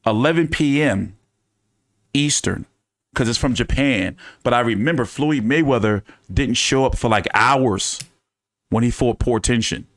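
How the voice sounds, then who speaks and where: A man's voice: mid-range voice, unhurried, a bit bright, dry recording, studio quality. A man hosting a casual fight-commentary live stream, talking in loose, profane, joking banter. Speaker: boxing commentary host.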